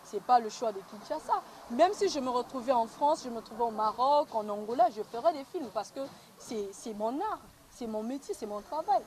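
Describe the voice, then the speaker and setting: Young Congolese woman, fairly high voice, interviewed actress speaking conversationally over clear background noise. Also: lively intonation, normal speed, few pauses, a little mellow. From Republic of the Congo. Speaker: interviewed film actress and director. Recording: clearly noisy location sound.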